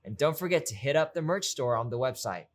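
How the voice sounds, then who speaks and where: Man, medium pitch, channel presenter, conversational, promotional delivery. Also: expressive, fairly quick.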